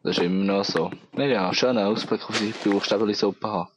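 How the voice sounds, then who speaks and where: A man's voice: on the low side, quick pace, background noise. Bernese Swiss German man with a cold. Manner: rambling, self-mocking, thinking aloud. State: has a cold. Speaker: let's play gamer. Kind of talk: casual gameplay commentary.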